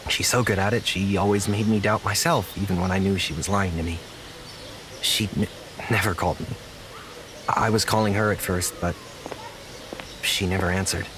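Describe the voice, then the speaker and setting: An actor playing a naive, apologetic young zookeeper in an audio drama, speaking earnestly with halting stammers. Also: male voice, deep voice, fairly even intonation, noisy background, natural voice. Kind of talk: naturalistic audio drama acting.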